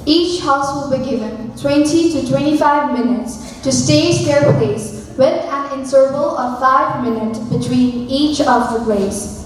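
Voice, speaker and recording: A high-voiced woman reads out a formal event announcement. The recording is distant and very echoey, with slight noise behind her. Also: unhurried, long pauses. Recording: echoey room, far microphone, faint noise.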